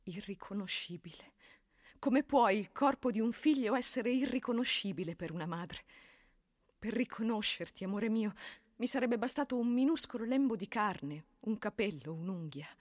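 A woman's voice: medium pitch, expressive, moderate pace, a little mellow, no echo, natural voice. Actress playing a grieving peasant mother, close and dry. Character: grieving middle-aged peasant mother, pleading and tender. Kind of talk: stage-like radio drama monologue.

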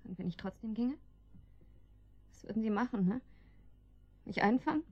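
A woman's voice: fairly high pitch, expressive, normal speed, darkish tone, breathy edge, dry recording, lo-fi sound. A woman playing an anxious captive wife in a German radio drama, pleading hesitantly with her guards. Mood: testing, defiant. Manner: hesitant, pleading, breaks off sentences.

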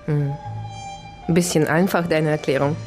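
Actress as low-voiced, sceptical investigative colleague woman. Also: steady tone, normal speed, darkish tone, soft background music, close to an everyday voice. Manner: direct, reasoning, sceptical. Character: sceptical, inquisitive female colleague working through a case. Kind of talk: naturalistic German radio crime drama acting.

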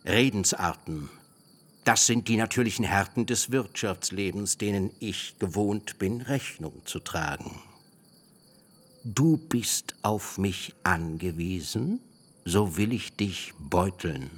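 Very deep, scornful older uncle. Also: male voice, animated, slow, husky, heavily acted. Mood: cold, calculating. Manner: pedantic, blustering, scornful. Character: stern, hard-bargaining older uncle, gruff scornful master. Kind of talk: literary radio drama acting. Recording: music behind the voice.

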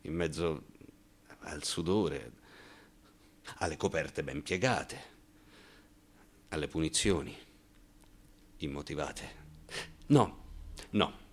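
Deep-voiced anxious, ironic man. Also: full character voice. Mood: wry, reflective. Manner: halting, rhetorical asides, swings from muttering to outbursts. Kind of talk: theatrical radio monologue.

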